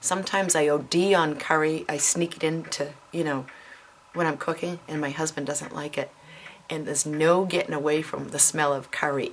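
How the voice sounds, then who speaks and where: A woman's voice: low voice, slight background noise. A woman in her fifties chatting casually to camera, with frequent ums and self-corrections. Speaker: vlogger.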